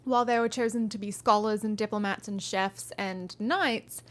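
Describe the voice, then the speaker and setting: A woman's voice: medium pitch, steady tone, normal speed, a bit bright. A woman streaming live, reading a fantasy novel aloud and chatting with viewers in a friendly, rambling way with frequent ums.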